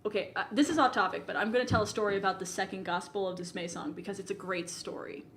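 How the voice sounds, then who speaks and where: A woman co-hosting a casual podcast, telling anecdotes in an animated way and often interrupting herself. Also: mid-range voice, fast. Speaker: podcast co-host. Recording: roomy echo, music playing behind.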